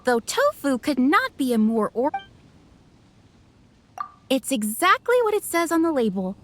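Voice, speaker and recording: An actress playing a courteous, helpful young waitress at an inn in a video game. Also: female voice, high-pitched, big pitch swings, measured pace, fairly bright tone, a little hiss or hum, exaggerated voice. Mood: polite, informative. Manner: courteous service patter. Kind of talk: video game character acting.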